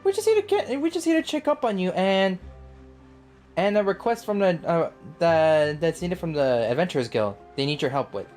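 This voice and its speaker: A woman's voice: on the low side, very animated, normal speed, a little mellow. A woman livestreaming a game with casual, slangy, exclamatory reactions and commentary. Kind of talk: casual live gameplay commentary, reading dialogue choices. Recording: game music behind.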